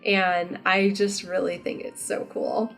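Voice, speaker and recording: Woman doing a casual vlog, upbeat n chatty, says like a lot, mid pitch, faint music behind her. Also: level tone, slow, creaky, a bit bright. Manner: informal, enthusiastic, frequent "like". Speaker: vlogger and costume maker.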